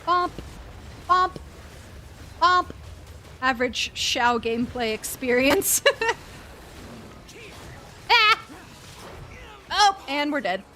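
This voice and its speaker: A young woman live-streaming a game, chatting casually with an expressive, playful manner. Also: sits high, a little hiss or hum. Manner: expressive, playful, exclaims and chants little words.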